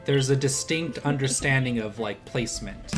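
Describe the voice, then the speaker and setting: A man's voice: mid-range voice, moderate pace. Young male streamer and art tutor, casual and encouraging, music playing behind him. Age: young adult. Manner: encouraging, informal. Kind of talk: casual live art critique with voice chat. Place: indoor home desk setup.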